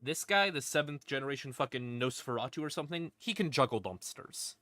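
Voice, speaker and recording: A man's voice: medium pitch, lively intonation, a little fast, sharp tone, no room sound. A man casually explaining lore as a streamer, irreverent and sweary, with wry asides. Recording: eerie ambient music behind voice. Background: creepy ambient music.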